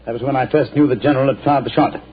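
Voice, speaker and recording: An actor playing a cool, incisive middle-aged detective in a 1940s radio drama, assured and faintly condescending. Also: male voice, mid-range voice, fairly even intonation, racing, breathy edge, natural voice. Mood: explaining. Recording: lo-fi old radio broadcast.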